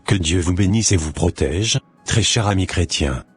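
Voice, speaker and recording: Casting: male narrator, very low and husky, solemn scripted exhortation. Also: lively intonation, moderate pace, faint music behind. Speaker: narrator and host.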